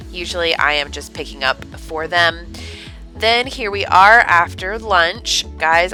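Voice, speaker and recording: Stuffy-nosed woman vlogger, bright voice. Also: lowish voice. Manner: chatty, upbeat, with fillers. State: stuffed-up head cold. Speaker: cleaning vlog host. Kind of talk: casual vlog narration.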